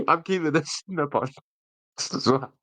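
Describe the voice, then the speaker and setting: A man's voice: fairly high pitch, unhurried, fairly bright tone, no echo. A man chatting casually and jokily as a podcast host. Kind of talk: casual bantering chat.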